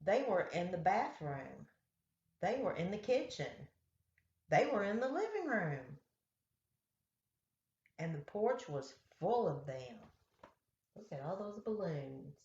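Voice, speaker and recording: A woman reading picture books aloud to young children as a storyteller, with deliberate, simple phrasing. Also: on the low side, very animated, darkish tone. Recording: roomy, echoey, dull lo-fi sound.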